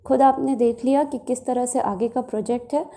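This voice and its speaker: A woman's voice: fairly high pitch, fairly even intonation, brisk, dark tone. A woman hosting an update video, explaining things in a reassuring way and mixing English words into her speech. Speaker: video channel host.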